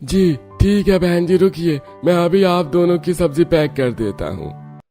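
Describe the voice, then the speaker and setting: A woman's voice: fairly low pitch, expressive, unhurried, dark tone, soft background music, voice pushed down. A woman voicing a polite, businesslike male vegetable seller in a cartoon story. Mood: obliging. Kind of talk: Hindi cartoon story dialogue.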